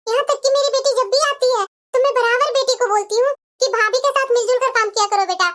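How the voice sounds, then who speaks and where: A woman playing an older, caring and wounded mother-in-law in a scripted family story, speaking gently and plaintively. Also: extremely high pitch, steady tone, fast talker. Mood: hurt, lamenting. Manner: gentle, lamenting.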